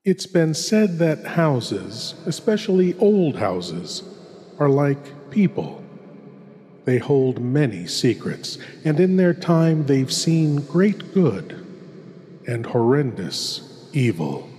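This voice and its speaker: A man playing a courtly, sinister horror host, introducing tales in a hushed, ominous and ornate manner. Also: a little high, very animated, slow pace. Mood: ominous, sly. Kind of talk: theatrical scripted horror introduction. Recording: long cavernous reverb.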